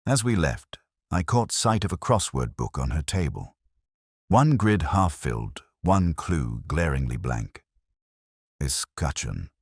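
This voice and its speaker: Casting: a man as a brooding, bookish inspector in middle age narrating an audio mystery; rock-bottom bass, measured literary delivery, close dry sound. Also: big pitch swings, breathy edge, no echo, exaggerated voice. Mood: pensive. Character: brooding, erudite middle-aged male police inspector. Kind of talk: scripted first-person detective narration, audio drama.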